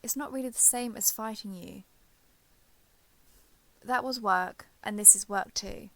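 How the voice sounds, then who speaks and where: A woman playing a shy, guarded former villain turned ally in an intimate romance audio roleplay, halting, defensive and awkwardly sincere. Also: mid-range voice, vocal fry, a bit bright, dry recording. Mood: grudging, defensive.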